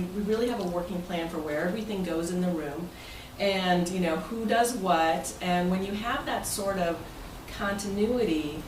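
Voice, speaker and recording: A woman giving an informal, chatty club presentation as its president, speaking conversationally to members. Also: mid-range voice. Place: indoor meeting room with members present. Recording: roomy, distant mic, noisy meeting room.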